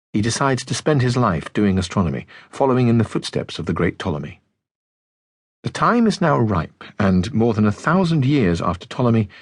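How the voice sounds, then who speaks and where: Expressive low-voiced man narrating an audiobook. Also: husky, dry recording. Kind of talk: scripted audiobook narration of popular science.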